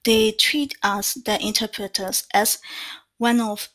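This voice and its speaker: A woman's voice: medium pitch, fairly even intonation, slow pace, a bit bright. A woman in her thirties from Myanmar with a Burmese accent, answering interview questions over a video call with many fillers and restarts. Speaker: interpreter guest.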